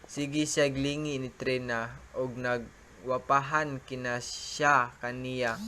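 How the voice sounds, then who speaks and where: A man's voice: medium pitch, steady tone, takes it slowly, bright, forceful tone, slight background noise. A man narrating an amateur radio drama in a plain storytelling manner.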